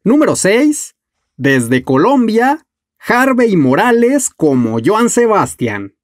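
A man in his thirties hosting a music video, reading a scripted countdown narration with lively delivery. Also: high-pitched, slow, background noise. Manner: lively, announcing countdown entries. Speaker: music journalist and channel host. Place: small room at home, indoors.

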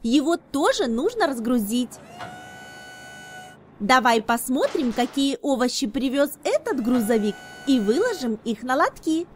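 High-pitched, animated woman narrating for kids. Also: a little slow, slight background noise. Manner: enthusiastic, instructive, carefully enunciated. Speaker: narrator of children's educational cartoons. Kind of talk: scripted narration for toddlers.

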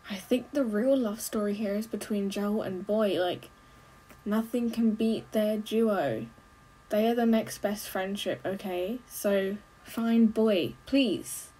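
A young adult woman giving casual, expressive running commentary as she reacts to a film, full of exclamations. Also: on the high side, slightly rough, rough recording. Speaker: movie reaction host. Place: small bedroom.

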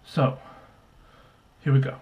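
A young man speaking to camera as a vlog host, with a deadpan, mock-serious manner. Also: slow pace, distant mic. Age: young adult. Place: small bedroom, indoors. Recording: roomy, echoey bedroom sound.

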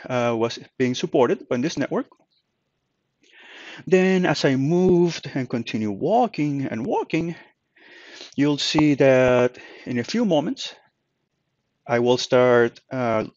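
Male tutorial presenter, mid-pitched, conversational and explanatory, dry and slightly muffled sound. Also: lively intonation, a little slow, long pauses, a little mellow, no room sound. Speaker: tutorial presenter, company manager. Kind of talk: informal software tutorial narration.

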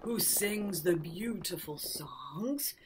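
A woman voicing an ancient, lonely, gentle giant who talks in a slow, loud rumble. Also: lively intonation. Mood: wistful.

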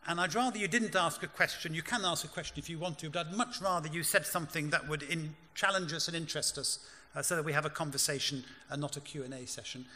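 Bright-voiced man moderating in a hall. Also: on the high side. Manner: brisk, dryly wry, directive. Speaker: panel moderator. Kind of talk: moderating a panel discussion. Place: large conference hall stage. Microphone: lectern microphone. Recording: hall reverb, faint room hum.

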